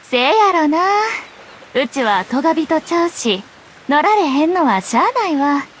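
A woman's voice: sits high, very animated, normal speed, slight background noise. An actress voicing a self-possessed, teasing young woman journalist in a Japanese game, speaking in a nonchalant Kyoto-style Kansai accent. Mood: breezy. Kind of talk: Japanese anime game voice acting.

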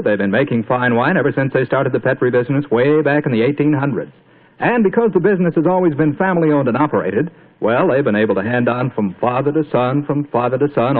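An American man announcing in 1940s radio style with chatty salesmanship. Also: mid-range voice. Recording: tinny 1940s radio broadcast.

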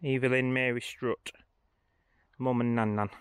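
A man's voice: medium pitch, flat delivery, a little slow, smooth, no room sound. A man giving casual, informal vlog commentary, thinking aloud as he walks outdoors. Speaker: travel vlogger. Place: outdoors, walking around a village churchyard.

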